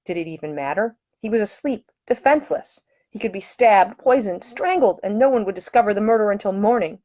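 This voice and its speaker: A woman reading her own romance novel as scripted audiobook narration, with expressive, dramatic storytelling. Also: medium pitch, a little fast.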